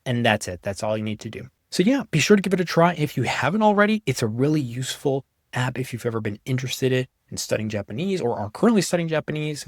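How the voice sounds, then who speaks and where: A young man giving a casual, conversational walkthrough of software he made, in an informal way. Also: mid-range voice, no echo, clean studio sound. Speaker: app developer presenting his own software.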